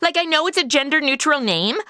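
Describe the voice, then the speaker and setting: Brief: actress as a snarky modern young woman; lively pitch, crisp dry recording. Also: brisk, dry recording. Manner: wisecracking and snarky. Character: sarcastic modern young woman lost in old radio. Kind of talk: comedy radio drama acting.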